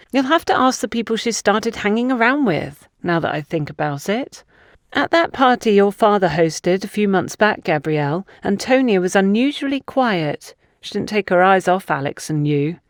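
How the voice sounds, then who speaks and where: A woman's voice: medium pitch, expressive, normal speed, no echo, studio quality, close to an everyday voice. Woman voicing a defensive, insistent young woman, lifted voice. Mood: defensive, pleading. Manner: insistent, self-justifying. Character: defensive young woman excusing herself to accusers. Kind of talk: audiobook character dialogue.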